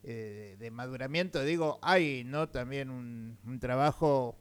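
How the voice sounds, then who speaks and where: An Argentine man hosts a radio interview in a smooth, resonant voice. He asks his questions in long, meandering stretches, full of hesitations and tag questions. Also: medium pitch, measured pace. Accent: Argentine Spanish. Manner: rambling questions with many fillers and tag questions. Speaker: radio host.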